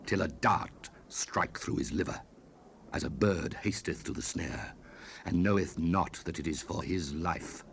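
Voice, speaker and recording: A man's voice: low-pitched, creaky. A man giving a solemn, theatrical dramatized reading of scripture, recorded on an old cassette with hiss. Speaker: scripture narrator. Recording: 1970s cassette rip with hiss.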